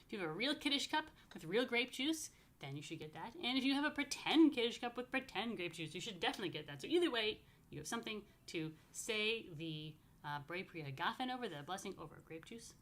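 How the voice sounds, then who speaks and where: A woman's voice: medium pitch, animated, fairly quick, a bit bright. Chatty woman rabbi talking to young children. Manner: chatty, playful asides; simple, repetitive explaining for young children. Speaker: rabbi leading a children's story time. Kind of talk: casual, child-friendly religious talk with blessings. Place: apartment.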